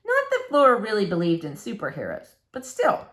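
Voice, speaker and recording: Animated woman reading a children's story. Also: mid-range voice, brisk. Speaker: storybook reader. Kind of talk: expressive read-aloud narration for children.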